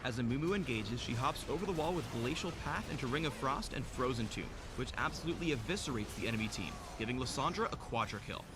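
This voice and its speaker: Fast-talking male game guide narrator. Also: mid-range voice, expressive, background noise. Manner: polished, instructional.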